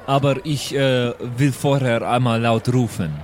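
A man's voice: medium pitch, fairly even intonation, a little slow, slight background noise. A man talking casually as a player in an improvised tabletop role-playing game.

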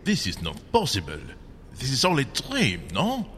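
Radio drama French gentleman painter: bewildered, polite, bright forceful tone, faint noise behind. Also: medium pitch, wildly swooping pitch. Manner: halting, wondering, courteous. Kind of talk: old-time radio adventure acting.

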